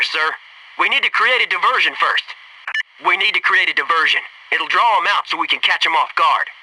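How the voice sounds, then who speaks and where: A man's voice: high-pitched, far from the mic, background noise. A man playing a cocky, wisecracking young American Navy SEAL in a military video game, with punchy, wry delivery. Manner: punchy, wry, eager banter and callouts.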